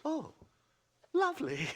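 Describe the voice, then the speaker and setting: An actor playing a pompous, excitable academic professor in a video game, stammering and condescending as he talks. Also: very high voice, takes it slowly, full character voice. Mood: nervous, patronizing. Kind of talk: theatrical video game acting.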